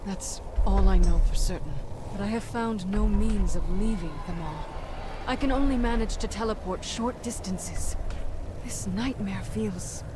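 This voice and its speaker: An actress playing a weary, resolute mage in a video game, speaking formally and solemnly. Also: female voice, mid-range voice, unhurried, noisy background, close to an everyday voice. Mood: bleak. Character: weary, embattled female mage, grim and resolute. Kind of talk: dramatic video game dialogue. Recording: loud game ambience behind the voice.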